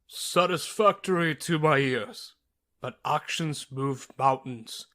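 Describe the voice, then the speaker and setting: A man's voice: on the high side, a bit bright. An actor playing a commanding middle-aged king and father, speaking formally in an ASMR roleplay. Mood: regal. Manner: formal, regal, unhurried.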